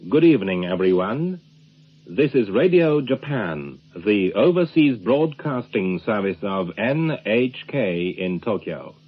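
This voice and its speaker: A man's voice: on the low side, sing-song, measured pace, breathy edge, soft background music. A man giving a formal overseas radio station announcement over a narrow shortwave recording.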